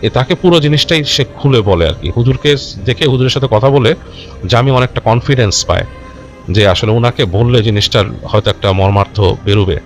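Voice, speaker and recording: A man telling a horror story informally and unscripted, in a halting, conversational way with repeated phrases. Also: medium pitch. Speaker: storyteller of a ghost story show. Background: background music.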